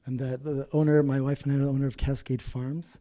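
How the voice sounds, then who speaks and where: A nervous, hoarse man from Utah, speaking earnestly as a landowner giving public comment at a meeting. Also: mid-range voice, flat delivery, fast, mellow.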